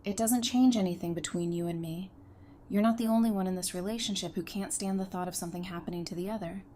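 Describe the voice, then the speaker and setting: A woman acting a defiant, sharp-tongued but protective survivor in an audio drama, blunt and intense in her delivery. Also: mid-range voice, steady tone, fast talker, close to an everyday voice. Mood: pleading, earnest. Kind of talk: full-cast fan audio drama acting. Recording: clear background noise.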